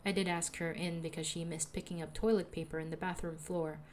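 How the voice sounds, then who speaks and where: A young woman with a soothing voice, calmly narrating a personal audio diary as a podcaster. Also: sits low, brisk, a little hiss or hum. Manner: calm, reflective, confessional.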